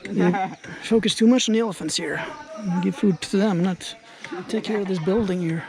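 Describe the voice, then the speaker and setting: A man from Norway speaking English, giving relaxed, chatty travel commentary to camera outdoors at a jungle camp. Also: high-pitched, normal speed, vocal fry, crowd noise. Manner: relaxed, chatty, thinking aloud. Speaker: travel vlogger.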